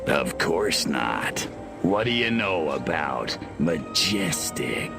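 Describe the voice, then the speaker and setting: A man playing a cocky, sadistic little alien invader in a video game, gravelly, sneering and wisecracking with menace. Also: rumbling low, sing-song, slow, music in the background, heavily acted. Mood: mocking menace. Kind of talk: video game cutscene acting.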